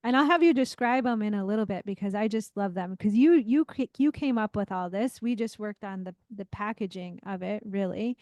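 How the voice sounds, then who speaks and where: A woman's voice: mid-range voice, a little fast, hardly any pauses, darkish tone, no echo, dull audio. A woman hosting a podcast, interviewing a guest in an encouraging way. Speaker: podcast host, business coach.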